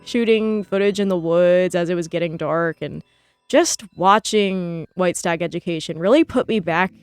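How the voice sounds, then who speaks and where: A woman's voice: fairly low pitch, normal speed, clear, resonant tone, no room sound. A young American woman chatting casually and enthusiastically as she gives commentary on art. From New Jersey, United States. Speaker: artist and video host. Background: background music.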